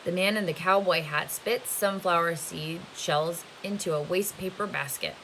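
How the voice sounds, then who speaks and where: Female read-aloud narrator, teacher-like: slightly low pitch, forceful bright tone, expressive storytelling, light hiss. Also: normal speed, poor audio. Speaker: teacher reading aloud to students. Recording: faint hiss behind the voice. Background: faint steady hiss.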